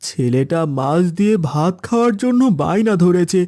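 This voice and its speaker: High-pitched, despairing village husband played by a man. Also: animated, moderate pace, a little mellow. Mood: weary, resigned. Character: poor, despairing village sweet-seller turned woodcutter, a husband. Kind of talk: Bengali moral-story cartoon dubbing. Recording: music behind the voice.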